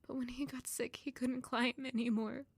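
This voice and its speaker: A woman's voice: sits high, little pitch movement, moderate pace, vocal fry, husky, no echo, exaggerated voice. An actress playing a fragile young servant woman, grieving and full of self-doubt, in an emotional audio drama. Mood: wistful, self-reproachful.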